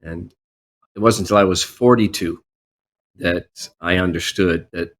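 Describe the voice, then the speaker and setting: A male podcast host who grew up in Canada, speaking calmly and reflectively in an interview. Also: sits low, a little slow. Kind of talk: conversational interview.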